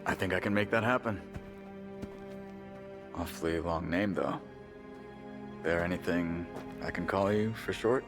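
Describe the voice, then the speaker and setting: An actor playing a gruff, wry soldier who leads a squad in a video game cutscene, speaking tersely and with command. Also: male voice, low voice, unhurried, long pauses. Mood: dryly wry. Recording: loud music behind.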